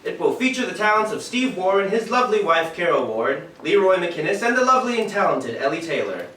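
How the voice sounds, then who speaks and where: A man's voice: high voice, very animated, a little fast, a bit bright. A man acting a polished old-time radio announcer on stage, with a projected, showy delivery. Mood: showy, upbeat. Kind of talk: 1940s radio show announcing. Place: live stage in a theatre. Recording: echoey theatre from far off, faint noise.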